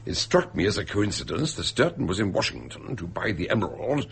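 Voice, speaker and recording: A man acting in a radio drama as an eccentric, shrewd detective, expounding a murder with deliberate, theatrical emphasis. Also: lowish voice, big pitch swings, gravelly, breathy edge, full character voice. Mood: explanatory.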